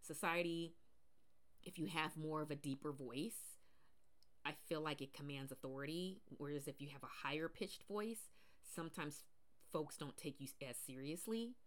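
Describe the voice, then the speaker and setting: Woman podcast host, naturally deep low voice, rambling casual monologue with fillers. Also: moderate pace. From Pacific Northwest, US. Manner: rambling, many fillers and restarts.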